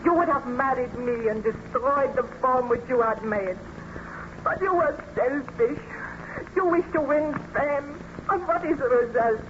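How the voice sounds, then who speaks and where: A woman's voice: high voice, unhurried. An actress playing a passionate, reproachful young woman in love with a baron, in a melodramatic old-time radio drama. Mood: bitter, accusing. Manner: melodramatic, formal declamation. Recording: dull, noisy old radio recording.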